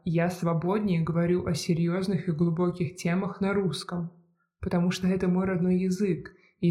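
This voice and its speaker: A reader giving a scripted narration of a personal essay in a measured, even delivery. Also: medium pitch.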